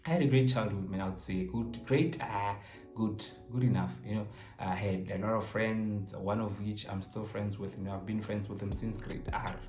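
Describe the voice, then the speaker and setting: A young man with a South African accent talks in a fairly low voice, joking his way through viewer questions. He slips between languages as he chats. The room echoes and music plays faintly behind him. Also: normal speed. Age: 20s. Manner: animated, joking, code-switches between languages. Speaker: YouTuber answering viewer questions. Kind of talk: casual chat to camera.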